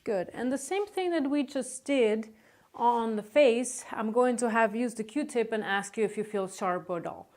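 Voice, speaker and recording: Woman, slightly high pitch, medical examiner, calm instructive manner, courteous, short reassuring acknowledgements. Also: unhurried. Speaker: medical examiner conducting a physical exam. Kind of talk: calm step-by-step clinical exam instructions.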